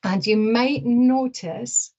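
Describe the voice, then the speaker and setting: A woman's voice: on the high side, takes it slowly, darkish tone, highs cut off. Chatty Scottish woman host. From Ayrshire, Scotland. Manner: chatty, openly nervous. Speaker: psychic show host. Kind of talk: casual chat to viewers.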